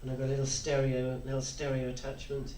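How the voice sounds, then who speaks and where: A man's voice: mid-range voice, completely flat delivery, fast talker, mellow, far from the mic. A man on a panel giving casual, practical advice from his own experience. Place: indoor convention panel room. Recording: echoey room from off mic, faint noise.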